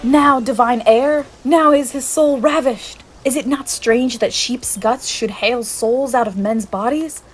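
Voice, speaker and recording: A woman's voice: a little high, a little slow, hardly any pauses, soft background music, natural voice. A woman playing a scornful, witty bachelor soldier in a Shakespearean radio play, musing theatrically. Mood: mocking.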